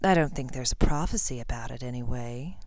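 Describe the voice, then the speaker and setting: A woman voicing a cold, cruel older wizard villain in a fantasy story, with slow, mocking menace. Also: rumbling low, darkish tone, dry recording, voice pushed down. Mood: cold gloating.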